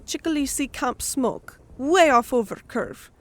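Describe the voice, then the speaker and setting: A woman's voice: sits high, slow. A woman voicing a small, dutiful male sparrow harpy with clipped, broken third-person speech in a fantasy audio story. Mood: eager reporting.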